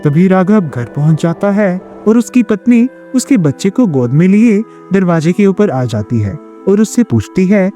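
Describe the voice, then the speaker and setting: High, animated male storyteller. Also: measured pace, hardly any pauses, soft background music. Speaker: story narrator. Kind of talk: scripted storytelling narration.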